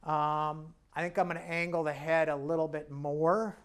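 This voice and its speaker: A man teaching painting as he works, chatty and self-deprecating, his voice a little raised in pitch. He sounds slightly hoarse now and then. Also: fairly even intonation, moderate pace. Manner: chatty, self-deprecating and jokey while working; talks while painting and stepping back from the canvas. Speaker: painting instructor. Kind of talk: casual painting demonstration.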